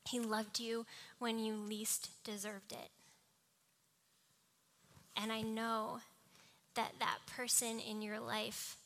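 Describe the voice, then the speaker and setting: A woman's voice: fairly high pitch, level tone, slow pace, long pauses, a bit bright. A young woman preaching a sermon with personal anecdotes, in a small, cartoonish voice. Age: young adult. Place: live talk before a congregation.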